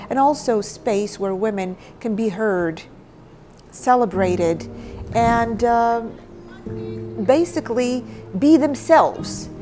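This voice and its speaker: A woman from Morocco giving a personal interview as the founder of an organization. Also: mid-range voice. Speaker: founder and chairwoman of a women's organization. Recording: clear music behind the voice. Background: background music.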